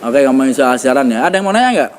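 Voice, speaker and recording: A man's voice: medium pitch, sing-song, very fast, slight background noise. A man from Semarang with a Javanese accent, hosting a casual podcast chat in a teasing, often laughing way. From Semarang, Central Java. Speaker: podcast host.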